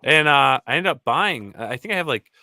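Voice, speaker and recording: Animated bright-voiced male podcast host. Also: medium pitch, fairly quick, vocal fry, no room sound, clean studio sound. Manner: animated, enthusiastic, many restarts. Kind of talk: casual video podcast chat.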